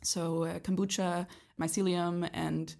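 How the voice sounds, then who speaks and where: Young woman guest raised in Canada, lowish gravelly voice, hesitant casual chat. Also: level tone, a little slow, a little mellow. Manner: hesitant, many ums and restarts. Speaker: guest, project leader.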